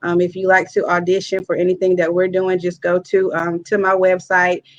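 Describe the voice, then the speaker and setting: A woman guest casually introducing herself in a halting, low-key way over a muffled lo-fi online call. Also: completely flat delivery. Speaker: guest, stage play writer-director.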